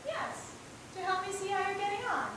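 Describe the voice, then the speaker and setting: A woman's voice: steady tone, fairly quick. A woman playing a caring, encouraging schoolteacher in an amateur student stage play. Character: caring, earnest young woman teacher, encouraging and protective. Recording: echoey stage, distant mic, low rumble.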